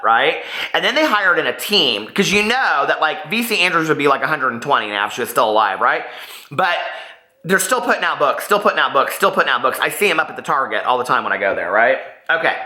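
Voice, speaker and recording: An American man in his forties hosting a casual book vlog, talking in an animated, gossipy, emphatic way. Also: a little high. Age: 40s. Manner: animated, gossipy, emphatic, often repeating himself for effect. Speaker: book vlogger and host. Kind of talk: casual chatty vlog with bits read aloud. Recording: roomy, echoing indoor sound.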